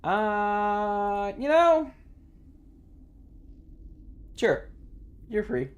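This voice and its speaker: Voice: medium pitch, sing-song, drawn-out words, lo-fi sound. A streamer casually commenting on a game and chatting with viewers in a rambling, playful, profane manner. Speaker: live streamer.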